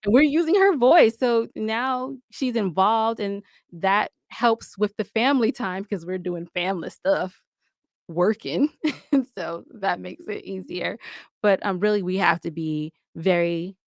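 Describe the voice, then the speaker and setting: A woman's voice: mid-range voice, a little slow, dry recording, clean studio sound. A middle-aged woman talking casually as a podcast guest, chatty and candid, with playful asides. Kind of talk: casual interview talk.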